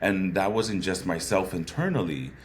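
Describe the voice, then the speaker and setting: Very low-voiced man, thoughtful guest storyteller, light background hiss. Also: lively intonation, moderate pace. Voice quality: bassy voice. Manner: earnest, thoughtful, frequent "right?" asides. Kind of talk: reflective conversational storytelling.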